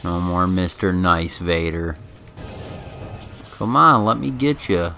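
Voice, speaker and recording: A man's voice: deep voice, expressive, takes it slowly, creaky, music in the background. A man giving casual, offhand, rambling commentary on a video game. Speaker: gameplay commentator.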